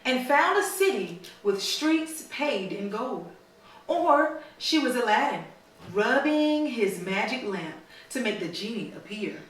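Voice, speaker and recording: A woman reading a storybook aloud to children in a teacherly way, pausing to prompt them with questions. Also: fairly high pitch, big pitch swings, fairly bright tone. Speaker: mother reading a storybook to her daughters. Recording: very echoey room, slightly distant mic.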